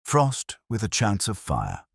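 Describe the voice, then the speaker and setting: A man's voice: fairly low pitch, huge pitch swings, creaky, slightly rough, no echo, studio quality, pitched above the performer's own voice, full character voice. A man voicing an imperious, cerebral detective in a storybook, speaking formally and pompously. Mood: coolly confident. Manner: pompous, measured, formal wording.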